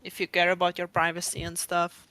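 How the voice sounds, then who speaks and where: A man chatting casually on a podcast call in a tentative, low-key way. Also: completely flat delivery, a little fast, sharp tone, no room sound. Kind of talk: casual chat.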